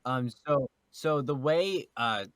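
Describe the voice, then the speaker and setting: A man's voice: medium pitch, takes it slowly, fairly bright tone, clean tone, no room sound. A man chatting casually as a podcast panelist, rambling and cutting himself off. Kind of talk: casual group discussion.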